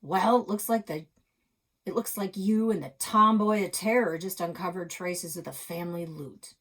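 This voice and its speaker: Storybook role: cranky old grandfather played by a woman, blunt, grumbling, husky and gravelly. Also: sits high, moderate pace, sharp tone, full character voice. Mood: loud, sarcastic. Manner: blunt, grumbling, folksy sarcasm. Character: gruff, cranky old grandfather, sarcastic and haunted.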